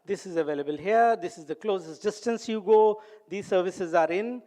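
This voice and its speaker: A man's voice: high voice, normal speed, dark tone. A man with an Indian English accent giving an earnest, instructive talk at a medical conference. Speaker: conference speaker. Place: conference hall.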